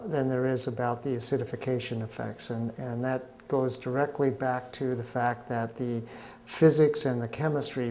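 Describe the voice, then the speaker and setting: Man, middle-aged, scientist interviewee, measured explanations, lots of uh's, faint background hum. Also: mid-range voice, darkish tone. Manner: measured, explanatory, frequent "uh" fillers. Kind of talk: interview answers. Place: event pavilion at a conference.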